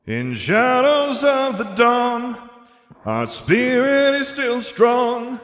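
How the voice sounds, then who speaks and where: A fiery, defiant young male singer, played by a man rallies listeners with declamatory chanting. The voice is very high and bright, ringing with long hall echo over music, in an audiobook performance. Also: big pitch swings, drawn-out words, heavily acted. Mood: defiant singing. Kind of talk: performed song and shouted rallying in an audiobook. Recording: echoey hall reverb with music behind.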